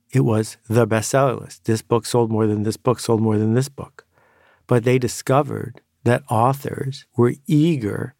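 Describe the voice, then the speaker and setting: Male podcast host, gravelly, dry studio sound, reflective monologue. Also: mid-range voice, darkish tone, dry recording.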